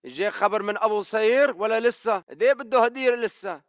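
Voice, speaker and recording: A man's voice: high voice, fast talker, clean tone, no room sound, clean studio sound, natural voice. A man playing a restless, worried militant guard with a Levantine Arabic accent in video game chatter. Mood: impatient.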